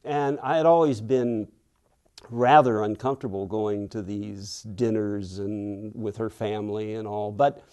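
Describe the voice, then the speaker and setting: Slow, mellow older man reminiscing. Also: fairly low pitch, fairly even intonation. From Western Pennsylvania. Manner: thoughtful, unhurried, acts out quoted people. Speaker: author being interviewed. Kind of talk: reflective storytelling interview.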